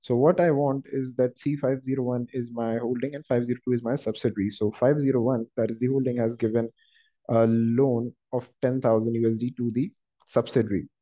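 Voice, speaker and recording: Guy doing a step by step software walkthrough, kinda halting, mid pitch, dull lo-fi audio. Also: little pitch movement. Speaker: software trainer. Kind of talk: screen-share tutorial, explained step by step. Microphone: screen-recording voice-over. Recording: dull lo-fi voice recording.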